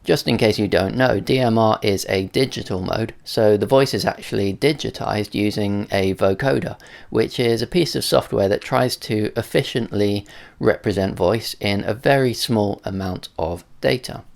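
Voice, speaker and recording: A man with a fairly low, gravelly voice presents a technical explainer. His delivery is measured and matter-of-fact, laying things out step by step like a tutorial. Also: darkish tone. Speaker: presenter of a radio tech video.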